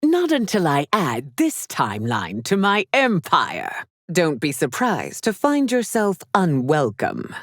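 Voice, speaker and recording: A haughty game empress, lowish voice with big swings, stern n formal, super dry sound. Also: female voice, huge pitch swings, no echo, heavily acted. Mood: commanding. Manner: imperious, formal, deliberate, theatrical diction. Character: haughty, regal warrior empress, stern and commanding. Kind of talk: video game character voice lines.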